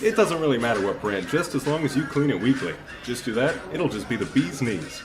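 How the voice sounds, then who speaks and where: A man's voice: mid-range voice, very animated, a little fast, over music, lightly acted. A man playing a bitter electronics repairman villain in an audio drama, who puts on folksy politeness over arrogant contempt. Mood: falsely chipper. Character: bitter male tech repairman villain, falsely chipper with customers.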